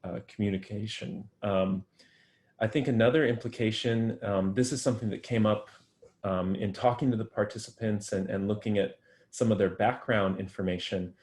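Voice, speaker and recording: Low-voiced man explaining research findings. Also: steady tone, normal speed, darkish tone. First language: English. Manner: thoughtful, many ums and restarts. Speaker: guest researcher. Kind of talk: academic interview answers.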